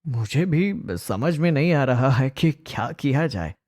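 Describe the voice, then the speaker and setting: Concerned adult son and mediator, family cartoon, male actor; mellow, unhurried, earnest. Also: medium pitch, animated. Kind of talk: Hindi animated family drama acting.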